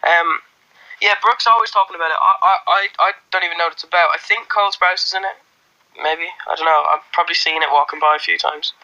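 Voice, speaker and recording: A young man from Ireland, his Irish accent mostly worn away, talks into a phone held close in a small room. His voice sits a little high and comes across very bright and forward. He rambles loosely through viewer comments, full of ums and false starts. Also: fast talker, lo-fi sound. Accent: faint Irish, mostly worn off. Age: young adult. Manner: rambling, relaxed, many fillers and restarts. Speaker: livestreamer. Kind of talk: casual livestream chat reading comments.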